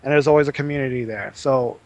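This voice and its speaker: A man guest on a casual podcast, giving advice in a steady, explanatory way with frequent ums. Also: mid-range voice, fast, dull audio.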